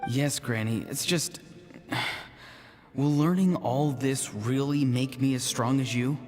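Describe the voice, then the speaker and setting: An actor voicing an earnest, self-doubting young man in a video game, pensive and soft-spoken. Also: medium pitch, slow pace, close to an everyday voice. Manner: hesitant, pensive. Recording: voice over clear background music.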